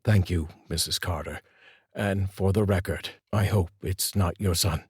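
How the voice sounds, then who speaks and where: A male storyteller voicing a calm, formal police detective who speaks in a measured, soft tone. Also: low-pitched, husky, no room sound, clean studio sound, heavily acted. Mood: somber.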